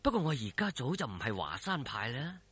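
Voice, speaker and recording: A man voicing a young swordsman hero in a storybook telling, low-key, sighing and ironic. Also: big pitch swings, fairly quick, fairly bright tone, breathy edge. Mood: wistful. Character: defiant, wry young male swordsman hero. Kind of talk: Cantonese audio storytelling.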